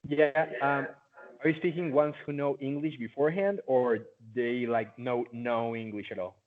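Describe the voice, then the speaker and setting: Chatty Mexican teen over a tinny line. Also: male voice, medium pitch, steady tone, normal speed. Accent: Mexican Spanish. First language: Spanish. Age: late teens. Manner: chatty, loose, full of "like" fillers. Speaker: interviewee, former student. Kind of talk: casual interview answers.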